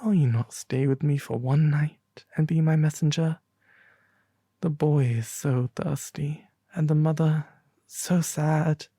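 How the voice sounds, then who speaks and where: A performer as a sorrowful, slow-spoken storybook prince statue. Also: mid-range voice, steady tone, mellow, breathy edge, no room sound, studio quality. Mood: sad, pleading. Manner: slow, tender, pleading.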